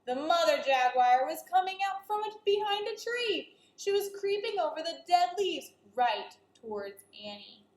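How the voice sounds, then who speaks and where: A woman's voice: very high-pitched, very animated, normal speed, hardly any pauses, roomy sound. A woman teacher reading a children's story aloud, expressively and speaking directly to her young listeners.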